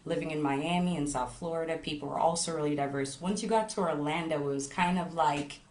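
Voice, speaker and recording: Low-voiced, nervous young woman from Montreal. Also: a little fast. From Montreal, Canada. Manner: chatty, informal, rambling. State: nervous, a little sleepy. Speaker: travel content creator. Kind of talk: casual personal chat to online viewers. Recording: echoey room.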